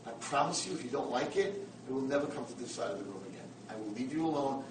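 A good-natured, talkative old man, put on by a male storyteller, speaks with earnest persistence. The delivery is very lively in pitch, and the sound is roomy and a bit far from the mic. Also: fast talker, close to an everyday voice. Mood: earnest, pleading. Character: kindly, happy-go-lucky, talkative old nursing-home resident. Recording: roomy echo, distant mic, some background noise.

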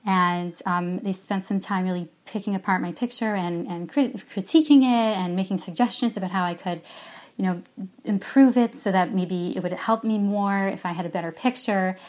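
A young woman sharing her personal experience in a voice note, earnest and halting, with many ums. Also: medium pitch, darkish tone. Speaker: listener sending a voice note.

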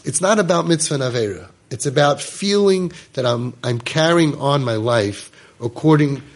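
Husky-voiced rabbi giving an animated class. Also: male voice, medium pitch, normal speed. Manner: animated, mixes Hebrew and Yiddish words into English. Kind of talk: Torah class lecture.

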